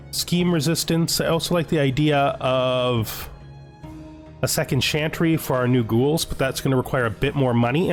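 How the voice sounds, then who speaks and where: A man giving casual video game commentary, thinking aloud as he deliberates his choices. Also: mid-range voice. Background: music.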